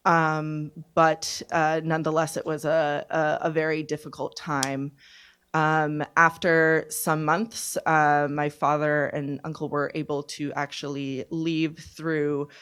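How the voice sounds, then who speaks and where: A woman in her twenties giving personal testimony at a panel discussion, speaking in a halting, deliberate, reflective way. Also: sits low, level tone, a bit bright, clear, resonant tone. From born in Serbia, raised from infancy in Canada. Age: 20s. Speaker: panelist, refugee survivor. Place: conference event, speaking on a panel.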